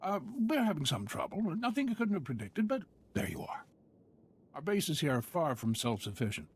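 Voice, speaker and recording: An actor playing a weary, formal base commander in a video game, husky and matter-of-fact. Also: male voice, a little high, sing-song, moderate pace. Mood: weary, grave.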